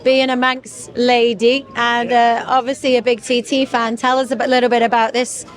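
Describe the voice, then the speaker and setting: A woman's voice: on the high side, flat delivery, normal speed, soft background music. A woman hosting event coverage, introducing guests in a bubbly, enthusiastic presenter manner. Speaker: host. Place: marquee at an outdoor bike event.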